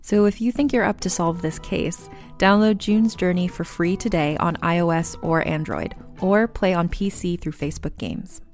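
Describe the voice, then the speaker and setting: A woman's voice: fairly low pitch, animated, moderate pace, hardly any pauses, darkish tone. A woman presenting an advertisement as a personal, conversational testimonial. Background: light music.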